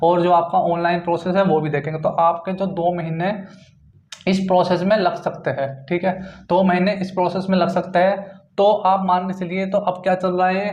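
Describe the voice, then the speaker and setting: A man's voice: sits high, little pitch movement, normal speed, a little mellow. A man informally explaining exam news to viewers, repeating points and often adding check-in tags. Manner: repetitive, punctuated with check-in tags. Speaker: exam-news presenter for job aspirants. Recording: dull lo-fi, roomy echo.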